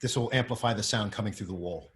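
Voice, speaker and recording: A man's voice: lowish voice, fast, a bit bright, natural voice. A man acting a wry, practical tech expert in a table read. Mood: wry, focused.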